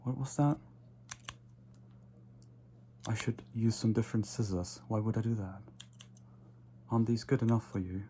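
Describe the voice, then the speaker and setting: Man playing a low, murmuring, haughty vampire barber. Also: noisy background, lightly acted. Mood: casually condescending. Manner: unhurried, murmured, drifting into whispers. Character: casual, haughty, fussy male vampire barber. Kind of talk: ASMR roleplay, soft close personal attention.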